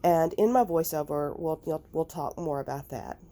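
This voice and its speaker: A woman with a raspy, nasal, hoarse voice, talking through her crafting clean-out in an unhurried, thinking-aloud vlog. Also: sits low, warm tone. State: recovering from illness, hoarse. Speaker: crafting vlogger. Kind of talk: casual vlog talk and voiceover.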